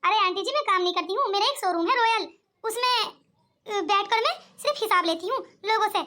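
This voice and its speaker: Lady doing a sweet young bahu for a cartoon, super high bright voice, polite. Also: expressive, a little fast, exaggerated voice. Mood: courteous. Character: polite, sweet young widowed daughter-in-law. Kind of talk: amateur cartoon comedy skit.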